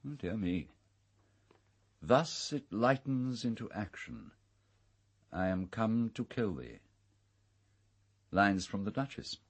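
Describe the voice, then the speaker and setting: Urbane, husky, low-voiced English gentleman. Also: lively intonation, full character voice. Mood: wry. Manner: wry, formal, measured. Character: urbane, fastidious gentleman host of an island house. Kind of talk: British radio drama acting.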